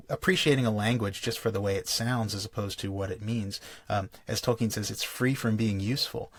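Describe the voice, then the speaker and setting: A man's voice: fairly low pitch, dry recording. A man hosting a solo podcast, explaining in a thoughtful, lecture-like way and often restarting his phrases. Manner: thoughtful, reads quotations aloud, frequent restarts. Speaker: podcast host.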